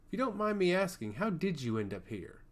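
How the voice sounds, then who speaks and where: A man voicing a charming god of chaos in a fantasy story, speaking with calm, theatrical confidence. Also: sits high, brisk, voice pushed up. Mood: guarded, candid.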